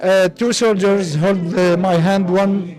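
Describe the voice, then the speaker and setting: A man with a Syrian accent giving personal testimony in halting English. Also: sits high, steady tone, darkish tone, low-quality recording. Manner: halting, frequent "you know". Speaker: interviewee, asylum seeker.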